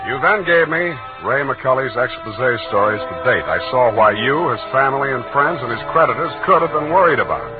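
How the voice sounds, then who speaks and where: An actor playing a wry, hard-boiled detective in a deadpan 1940s radio drama style. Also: male voice, mid-range voice, brisk, hardly any pauses, lightly acted. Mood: wry narrating. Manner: dry, deadpan wisecracking. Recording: tinny old radio recording, music behind.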